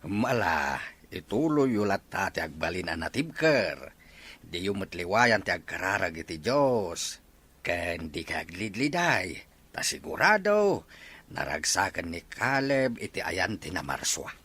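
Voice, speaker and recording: A man playing a doting old Ilocano grandfather in a family audio drama, speaking with affectionate, drawn-out delivery. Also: low-pitched, sing-song, a bit bright, husky, full character voice. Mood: gentle counsel.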